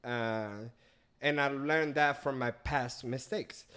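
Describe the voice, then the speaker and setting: A man's voice: medium pitch, fairly even intonation, takes it slowly, a bit bright, clean tone. Man, podcast guest; earnest, reflective, restarts often. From Venezuela. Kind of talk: casual chat.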